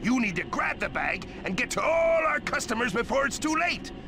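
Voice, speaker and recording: A man voicing a blustery, money-minded older crab boss in a cartoon video game, gravelly, hearty and bossy, with sailor-like talk. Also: very high voice, sing-song, normal speed, music in the background, exaggerated voice. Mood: excited, scheming.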